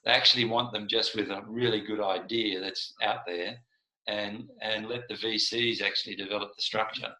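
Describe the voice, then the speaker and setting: Older man with a bright, low voice. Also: fairly even intonation, normal speed, roomy sound, poor audio. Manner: earnest, restarts often. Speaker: speaker in a business forum. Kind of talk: casual talk in an online meeting.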